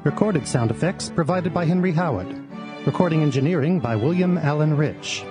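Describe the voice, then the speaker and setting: Male announcer for scripted credits, slightly high voice, music underneath. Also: expressive, brisk.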